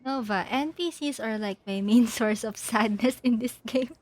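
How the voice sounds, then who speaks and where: A woman streaming a game with casual, giggly, halting commentary that often repeats words. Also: fairly high pitch, a little mellow, no room sound.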